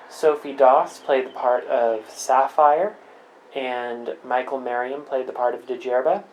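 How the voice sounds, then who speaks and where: A man's voice: mid-range voice, darkish tone, a little hiss or hum, rough recording. A man talks as the creator of an audio show, going through the credits casually. His delivery is informal and offhand, like unscripted chat. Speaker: show's writer, producer and actor.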